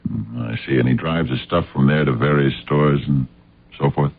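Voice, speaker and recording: An actor playing a gruff, wary sleuth in a radio drama, with halting, probing questions and a very deep, gravelly voice. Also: male voice, exaggerated voice. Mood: probing, suspicious. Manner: halting, pressing questions with many ums. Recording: muffled lo-fi radio drama recording.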